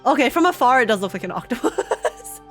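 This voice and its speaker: A woman giving casual, excited live commentary on a video game as a streamer, rambling and emphatic with plenty of slang. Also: high voice, fairly quick, soft background music.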